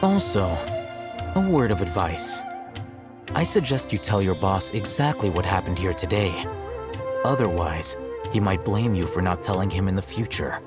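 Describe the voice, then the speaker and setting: An actor playing an aloof, blunt young scholar in a video game, speaking in a measured, dry, condescending way. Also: male voice, low voice, lively intonation. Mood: cool warning. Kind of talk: scripted video game cutscene acting. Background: background music.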